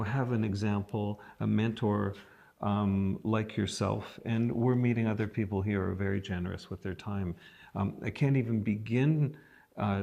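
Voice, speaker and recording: A man interviewing an artist, thoughtful and encouraging, with many pauses and ums. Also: on the low side, vocal fry, darkish tone. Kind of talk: interview, conversational.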